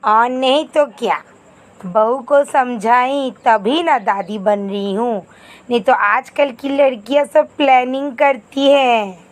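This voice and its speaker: A woman voicing a nagging, old-fashioned middle-aged Indian mother-in-law in a scripted animated moral story, with dramatic, scolding exclamations. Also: a little high, slow. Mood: smug, defensive.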